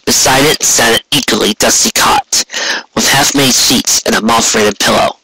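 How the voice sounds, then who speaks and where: A man's voice: medium pitch, normal speed, pushed and bright, breathy edge, dry recording, rough recording. A man reading scripted fan fiction aloud as an amateur audiobook narrator.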